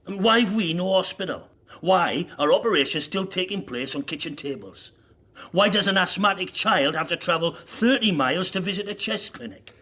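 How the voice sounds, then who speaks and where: A man's voice: sits high, expressive, moderate pace, bright, forceful tone, husky, exaggerated voice. An actor playing a blunt, fervent socialist miners' union man in a radio drama, speaking persuasively. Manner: forthright, rhetorical, persuasive.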